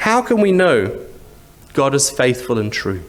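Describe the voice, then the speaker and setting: A man's voice: medium pitch, big pitch swings, a little slow. A man with a Zimbabwean accent preaching a sermon in a conversational, explanatory way, with rhetorical questions and repeated phrases. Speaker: church preacher.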